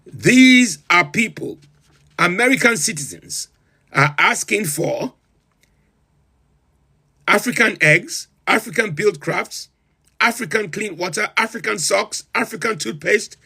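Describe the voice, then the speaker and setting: A man's voice: high-pitched, animated, takes it slowly, creaky, pauses often, sharp tone, slightly rough, full character voice. A man playing a pompous self-styled president of a comic republic, who hosts a livestream and reels off long lists in theatrical disbelief. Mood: incredulous. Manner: incredulous, theatrical, lists items with rising emphasis. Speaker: livestream host. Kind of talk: comedic in-character livestream rant.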